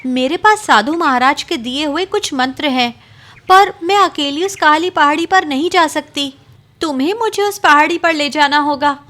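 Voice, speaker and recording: A woman's voice: high-pitched, moderate pace, bright, forceful tone, pitched above the performer's own voice. Woman voicing a brave determined princess. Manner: firm, earnest pleading.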